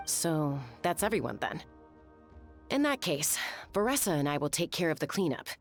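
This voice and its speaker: A low-pitched female warrior of a video game, cool and decisive. Her delivery is bold and capable, yet moves widely in pitch. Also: very animated, moderate pace, faint music behind. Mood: matter-of-fact.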